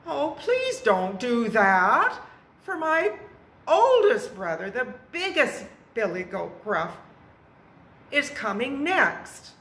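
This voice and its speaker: A polite young billy goat played by a woman speaks up earnestly in a children's tale. The voice is raised high and bright, in an echoey room. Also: big pitch swings, takes it slowly, heavily acted. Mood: pleading. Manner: polite, earnest, higher than the narration. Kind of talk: children's storytelling.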